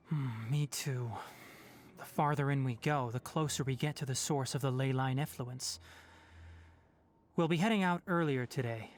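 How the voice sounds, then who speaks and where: A man voicing a calm, principled young male forest ranger and scholar in a video game, speaking in a measured, thoughtful way. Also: a little high. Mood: commanding.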